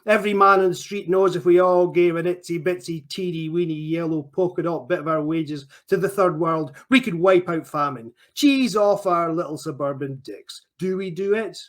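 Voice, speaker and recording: A man's voice: a little high, moderate pace, hardly any pauses, close to an everyday voice. A man voicing a bitter male killer who sneers and rants in a mocking way. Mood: ranting. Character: bitter male killer ranting in a sunken wreck.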